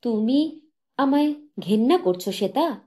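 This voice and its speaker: Woman reading as a bitter, demanding sick husband, raised pitch. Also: expressive, unhurried, mellow. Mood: accusing. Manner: peevish, accusing, commanding. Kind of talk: audiobook character dialogue.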